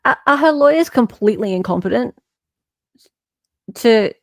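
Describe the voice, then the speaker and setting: Higher-pitched Australian woman, emphatic. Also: animated, moderate pace, no room sound. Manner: incredulous, emphatic, repeating herself for emphasis. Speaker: online show host. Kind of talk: reading a legal filing aloud with off-the-cuff commentary.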